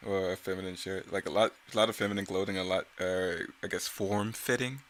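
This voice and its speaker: A man's voice: deep voice, fairly even intonation, brisk, a bit bright, slight background noise. A man giving casual, off-the-cuff creator commentary in a rambling, self-correcting way. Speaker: creator giving commentary on own motion comic series.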